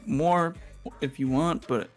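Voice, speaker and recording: A man's voice: on the high side, slow pace, soft background music. A man whose first language is Spanish, chatting as a podcast guest over a call, hesitant and full of filler sounds, laughing often. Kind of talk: casual chat.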